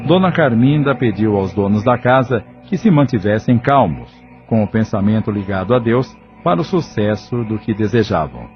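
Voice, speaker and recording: A man's voice: lowish voice, very animated, normal speed, hardly any pauses. A man reading scripted narration for an audio drama. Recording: dull lo-fi audio. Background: background music.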